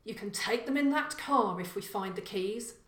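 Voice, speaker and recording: A woman's voice: mid-range voice, expressive, normal speed, a bit bright, natural voice. Burglar father, middle-aged female reader: burly, blunt, sure of himself, instructing, crime audiobook, reverberant room. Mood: indulgent.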